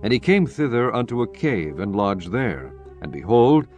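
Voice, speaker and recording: Casting: man with a fairly low voice, solemn, measured scripted Bible narration over faint music. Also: very animated, darkish tone. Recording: music bed under the voice. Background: background music.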